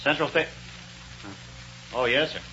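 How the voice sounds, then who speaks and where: A man's voice: on the high side, fairly even intonation, unhurried, natural voice. An actor playing a deferential, obliging spaceport foreman in a 1930s radio serial. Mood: helpful. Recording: very noisy, dull 1930s radio recording.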